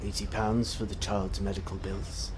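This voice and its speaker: An actor playing a cold, menacing Victorian gentleman scientist in a stage drama, clipped, curt and contemptuous. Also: on the low side, little pitch movement, moderate pace, background noise, close to an everyday voice. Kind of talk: theatrical stage drama acting.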